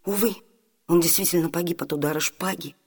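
A woman's voice: on the low side, darkish tone, natural voice. An actress playing a self-possessed young Italian noblewoman disguised as a man, earnest and formal, in a radio theatre comedy. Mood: solemn pleading. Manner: earnest, formal, measured stage diction.

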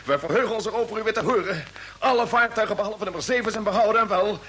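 Earnest, troubled spaceship commander. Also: male voice, sits high, fairly bright tone, breathy edge. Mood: formal, relieved. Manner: insistent, deliberate, grave. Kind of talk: old Dutch radio drama acting. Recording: noisy vintage radio drama recording.

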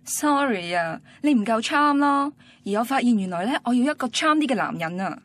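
A woman's voice: on the high side, lively intonation, moderate pace, fairly bright tone. An actress playing a blunt, ambitious young student girlfriend in a radio drama, matter-of-fact and dismissive, with a Hong Kong Cantonese accent. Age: young adult.

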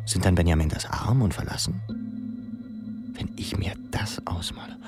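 An actor playing a brooding young pupil in a radio drama, musing anxiously in questions, gravelly and often near a whisper. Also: male voice, low-pitched, expressive, moderate pace. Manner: musing, questioning inner monologue. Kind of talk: literary radio drama narration. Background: music.

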